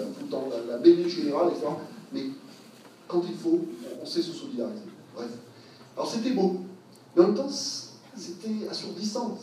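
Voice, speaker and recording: A man's voice: a little high, darkish tone, slight background noise. Hesitant then fervent man in a hall. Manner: thinking aloud with many hesitations, rising to passionate emphasis. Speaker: speaker at a public talk. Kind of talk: public talk, reflective and improvised. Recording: very echoey hall from far off.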